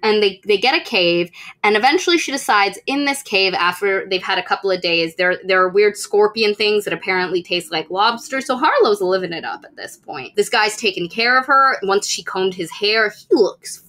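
A young woman co-hosting a podcast, giving a casual, comic recap in an animated, slangy way, slipping into mock dialogue. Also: mid-range voice, moderate pace. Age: young adult. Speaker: podcast co-host retelling a book. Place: indoors, room with bookshelves.

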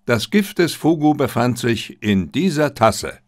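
A man reading the part of a confident, gently mocking detective with an assured, lecturing manner in an audiobook. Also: mid-range voice, very animated, a little slow, no room sound, studio quality. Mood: brisk, assured.

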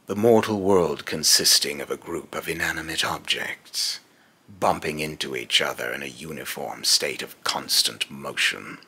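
A man's voice: rumbling low, pushed and bright, heavily acted. A young man playing a menacing, scornful godlike villain in pompous, theatrical monologue, recorded in a small room at home. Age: young adult. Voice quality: gravelly, raspy. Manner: slow, pompous, theatrical delivery. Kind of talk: dramatic villain monologue, rehearsed voice lines.

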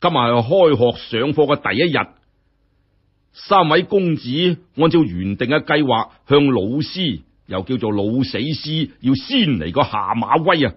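A man's voice: mid-range voice, animated, unhurried, breathy edge, muffled recording. A man with a Hong Kong Cantonese accent narrating a story in a colloquial, theatrical, exclamatory way. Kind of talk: animated scripted story narration.

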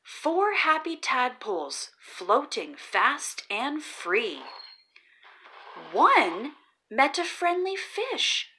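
A woman reading a children's picture book aloud as the channel host, in a slow storytelling manner with drawn-out pauses before each number. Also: high voice, sing-song, sharp tone. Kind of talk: read-aloud storytelling for kids.

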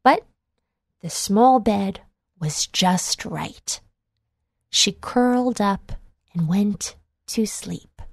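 A woman narrating a children's storybook in a measured, clearly enunciated read-along delivery. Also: medium pitch, expressive, dry recording, clean studio sound.

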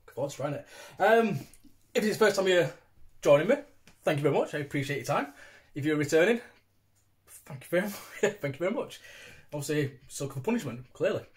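A man's voice: fairly high pitch, animated, brisk, long pauses. A British man in his thirties giving a casual, chatty, informal review to camera, recorded on a phone in a room at home. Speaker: beer reviewer, vlog host. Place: small domestic room indoors. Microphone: phone propped at arm's length.

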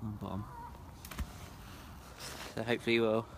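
A young man with a slightly low voice gives brisk, matter-of-fact commentary. He is filmed outdoors beside a lake on a phone held at arm's length, with clear outdoor noise and honking birds behind him. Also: flat delivery. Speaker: angler vlogger. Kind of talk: casual outdoor vlog. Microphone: phone camera at arm's length.

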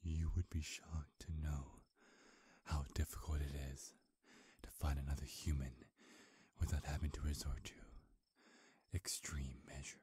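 A man playing a hungry, seductive vampire in an ASMR roleplay, murmuring and whispering close to the mic, breathy and softly menacing. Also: extremely low pitch, flat delivery, heavily acted. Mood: amused, hungry. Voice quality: husky, breathy. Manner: slow, coaxing, softly menacing. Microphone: close microphone.

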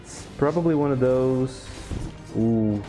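A young man from Brazil, a Portuguese speaker with a Brazilian accent, chatting casually over gameplay, relaxed and laughing often. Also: mid-range voice, takes it slowly, faint music behind. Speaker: gaming YouTuber.

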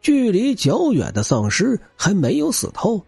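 A man's voice: high-pitched, wildly swooping pitch, unhurried, vocal fry, mellow, slightly rough, no room sound, faint music behind. A man narrating action fiction as a scripted audiobook, with a dramatic, theatrical and intense delivery.

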